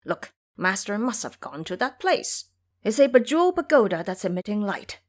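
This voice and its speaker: A woman's voice: medium pitch, big pitch swings, moderate pace. A woman voicing a boastful, foolish pig-monster monk in an audio drama, eager and blustering.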